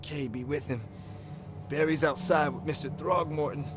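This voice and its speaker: An actor playing a dazed, anxious working-class fisherman in a video game, speaking in halting, groggy, clipped phrases. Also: a little high, fairly even intonation, over music, close to an everyday voice. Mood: groggy, subdued. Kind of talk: dramatic video game voice acting.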